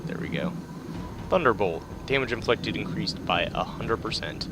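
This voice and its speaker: A man's voice: fairly low pitch, expressive, moderate pace, noisy background. A man giving casual video game commentary in a laid-back, hesitant, rambling way, sometimes reading out tips. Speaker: game player commentating a playthrough. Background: game music.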